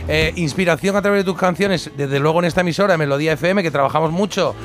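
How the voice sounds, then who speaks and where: A man's voice: a little high, quick pace. A man hosting a radio music show, chatty and friendly, reading listener messages. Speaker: radio host. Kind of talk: radio interview.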